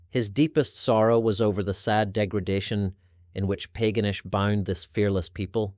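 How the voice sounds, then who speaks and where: Male narrator, lower-pitched, formal and measured delivery of old-fashioned historical prose, very dry close sound. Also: darkish tone, no echo. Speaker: narrator reading an article aloud. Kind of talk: scripted narration of an old religious history article.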